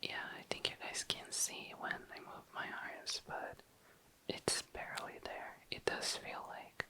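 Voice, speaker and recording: An ASMR host whispering in a breathy, unvoiced voice, musing casually and tentatively, close to the mic. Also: a little slow. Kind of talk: whispered ASMR, casual makeup swatching narration.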